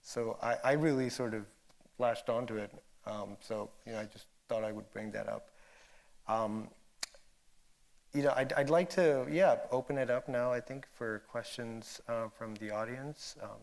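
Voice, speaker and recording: A man's voice: medium pitch, normal speed. A man moderating a film discussion, speaking thoughtfully in hesitant, broken phrases. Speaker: panel moderator. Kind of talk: thoughtful spoken commentary at a film Q&A.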